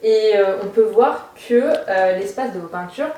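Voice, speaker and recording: A woman's voice: medium pitch, expressive, measured pace, a loud crowd. A young woman in her twenties interviewing an artist in a gallery, polite and hesitant with fillers. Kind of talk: casual interview. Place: art gallery room. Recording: roomy sound from off mic.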